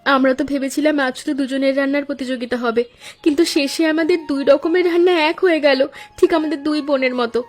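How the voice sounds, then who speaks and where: A woman's voice: high voice, steady tone, fast, soft background music, lightly acted. A woman playing a gracious young daughter-in-law, the elder sister in a cartoon story, earnest and polite. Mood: grateful.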